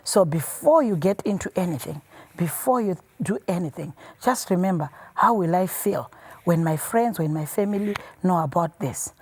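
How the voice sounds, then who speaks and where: A woman's voice: fairly low pitch, lively intonation, normal speed, darkish tone, breathy edge. A woman earnestly explaining a proverb and a Bible verse in conversation. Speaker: guest discussing a cultural saying.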